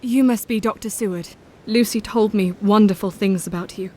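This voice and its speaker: An actress playing a gentle, supportive Victorian wife in an audio drama, polite and soothing. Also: female voice, mid-range voice, normal speed, lightly acted.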